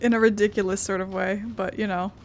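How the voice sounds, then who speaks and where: A woman's voice: mid-range voice, level tone, brisk. Casual muttering woman playing a game. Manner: muttering, self-deprecating, sweary. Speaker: game streamer, let's player. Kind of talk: casual gameplay commentary. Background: game music.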